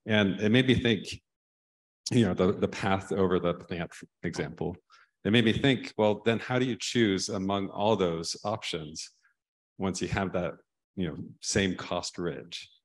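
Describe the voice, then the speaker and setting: A man's voice: sits low, unhurried. A man chairing a session and casually asking technical questions. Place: large lecture theatre.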